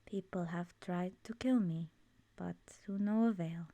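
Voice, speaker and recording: A woman voicing an ancient, immortal swamp witch in an ASMR roleplay, soft-spoken and often whispery, gently knowing and wistful. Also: on the low side, measured pace, dry recording. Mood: wistful, somber.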